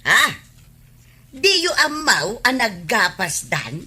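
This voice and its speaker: Meddlesome villager, raspy edge, animated pitch, Ilocano accent, radio comedy drama. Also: female voice, takes it slowly, exaggerated voice. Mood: disapproving.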